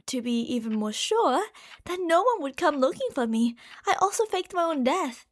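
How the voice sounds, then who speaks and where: A very high-pitched, lovesick yandere girlfriend played by a woman speaks straight to her darling in an ASMR roleplay. She is a delusional genius scientist, gushing and possessive, moving between hushed confiding and excited devotion. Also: moderate pace. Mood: secretive, determined. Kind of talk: ASMR roleplay monologue addressed to the listener.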